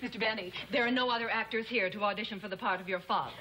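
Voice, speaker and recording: A woman's voice: mid-range voice, racing, close to an everyday voice. A woman playing a polite, efficient secretary in a vintage TV sitcom. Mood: polite, businesslike. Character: polite, efficient female secretary screening auditions. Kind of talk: 1950s TV sitcom comedy acting. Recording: noisy vintage TV soundtrack.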